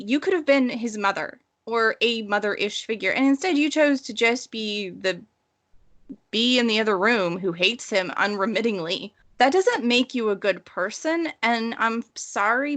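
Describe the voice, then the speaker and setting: A woman's voice: on the high side, a bit bright. A woman in her thirties co-hosting a casual book discussion, speaking in an emphatic, exasperated way. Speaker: podcast co-host; romance writer.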